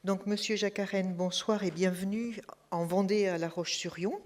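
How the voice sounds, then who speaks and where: A woman presents the evening's speaker in a formal, prepared introduction. Her voice sits at a middle pitch, polite and measured, with phrase endings that drop away softly. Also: steady tone. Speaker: event host introducing a speaker. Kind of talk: formal introduction read from notes. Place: lecture hall.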